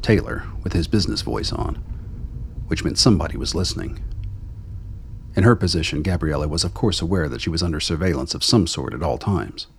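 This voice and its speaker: A man narrating a radio play in a measured, solemn storytelling manner. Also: low-pitched, animated, gravelly, breathy edge.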